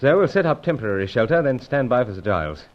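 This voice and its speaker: An actor playing an unflappable British secret agent, with clipped, understated gentlemanly speech, in a vintage adventure radio serial. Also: male voice, medium pitch, big pitch swings, fast, natural voice. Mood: stoic, practical. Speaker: lead character and narrator. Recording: dull vintage radio sound.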